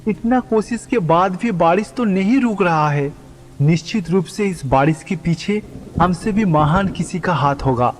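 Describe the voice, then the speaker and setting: Man voicing an earnest, higher-pitched troubled sage. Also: lively intonation, unhurried, a little hiss or hum. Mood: troubled, puzzled. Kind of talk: animated devotional story dialogue. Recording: long reverb, music underneath.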